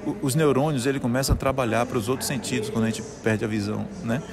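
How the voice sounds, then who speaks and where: A man's voice: mid-range voice, fairly even intonation, quick pace, gravelly, crowd noise. A middle-aged man from Salvador, earnestly telling his personal story in an interview and often restarting his phrases. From Salvador, Brazil. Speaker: guest, musician and conductor. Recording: music playing behind the voice.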